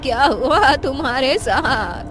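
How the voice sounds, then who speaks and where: Woman playing an old village mother, frightened, remorseful, trembling pleas, high voice, unhurried, cartoon horror tale, music underneath. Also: expressive. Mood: pleading. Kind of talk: animated horror story voice acting.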